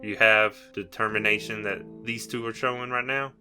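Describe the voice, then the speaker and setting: A man's voice: fairly low pitch, steady tone, normal speed, sharp tone, faint music behind. A man voicing a proud, blunt fighting creature who trains others in a challenging manner in a tabletop game.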